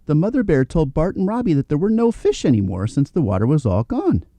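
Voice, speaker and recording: Very mellow, animated male storyteller. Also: on the high side, fairly quick, no echo. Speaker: storybook narrator. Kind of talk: scripted children's story read-aloud.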